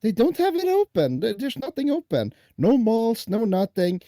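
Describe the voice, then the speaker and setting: A man's voice: very high voice, huge pitch swings, normal speed, warm tone, dry recording, clean studio sound. A man from Norway in his thirties, chatting bluntly over a voice call while gaming. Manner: blunt, swears casually. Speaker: stream guest. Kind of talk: casual gaming chat.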